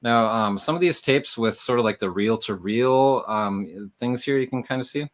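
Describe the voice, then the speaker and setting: A man's voice: mid-range voice, moderate pace. A man hosting a livestream in a casual, explanatory style, talking directly to viewers like a conversation. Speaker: livestream host and reseller.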